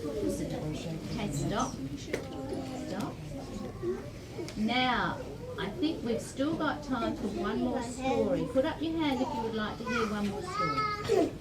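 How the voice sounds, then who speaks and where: A woman's voice: fairly high pitch, sing-song, slow pace, long pauses. A woman hosting a children's storytime, giving simple, encouraging directions to a group of young children. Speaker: library storytime host. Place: indoor library event room. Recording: distant mic, loud room noise.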